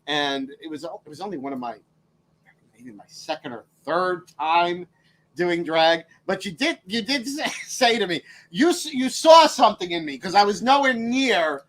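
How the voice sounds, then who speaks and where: A high-pitched man, giggling and gushing as a drag queen co-host. He agrees eagerly and laughs often in a loose, casual chat. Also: animated, normal speed, a bit bright, rough recording.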